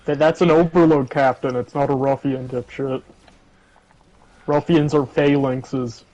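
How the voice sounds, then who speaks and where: A man's voice: medium pitch, fairly even intonation, a little slow, a little mellow. A man chatting casually during an online game, blunt, teasing and swearing often, over lo-fi voice chat. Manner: blunt, foul-mouthed, teasing. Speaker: gamer in a co-op session. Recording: dull, lo-fi voice chat audio.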